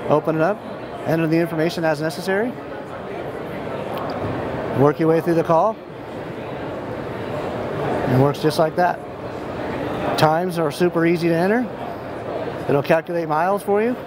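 American man, thirties, slightly high voice, matter-of-fact product demonstrator, busy noisy trade show hall. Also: moderate pace, pauses often. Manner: matter-of-fact, step-by-step explaining. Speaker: software company representative giving a product demonstration. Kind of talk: informal product demo. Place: large indoor expo hall. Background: clear ambient hall noise.

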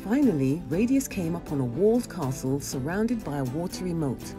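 A woman reading a children's storybook aloud in an expressive narrating style. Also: lowish voice, normal speed, over music.

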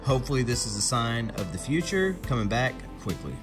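A man's voice: mid-range voice, big pitch swings, fairly quick, loud music behind the voice. A man giving casual, chatty vlog commentary, thinking aloud in a folksy way. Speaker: vlogger buying storage units at auction. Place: partly inside a truck, hand-held filming of a laptop screen.

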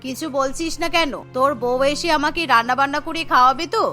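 A woman plays a stubborn, middle-aged cartoon mother-in-law with a bright, fairly high voice. She speaks firmly and admonishingly over music. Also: fast talker. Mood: pressing.

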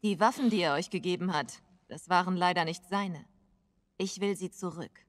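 A woman's voice: lowish voice, moderate pace, close to an everyday voice. An actress playing a hard-nosed female smuggler in a video game, curt and unyielding. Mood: businesslike, stubborn. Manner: curt, tough. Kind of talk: dramatic video game acting, German dub.

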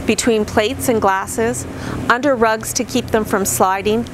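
A middle-aged woman speaks at a medium pitch, reading scripted camping tips to the camera. Her delivery is upbeat and clearly enunciated, like a practiced presenter. She's outdoors beside a camper trailer, with a faint noise in the background. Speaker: vlogger.

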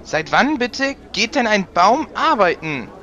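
Guy as exasperated young horse on trial, comedy audio play, bright tense voice, dull mic. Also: sits high, big pitch swings, a little slow, exaggerated voice. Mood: incredulous. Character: young stallion defendant, exasperated and incredulous. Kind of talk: fan audio comedy courtroom drama.

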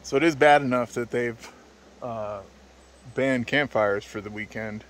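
A man in his thirties narrates a camping vlog in a medium-pitched voice. He speaks casually and matter-of-factly, pausing with hesitations. He is recorded outdoors on a handheld phone with faint ambient noise behind him. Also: low-quality recording. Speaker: travel vlogger. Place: outdoors at a forest campsite. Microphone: handheld phone at arm's length.

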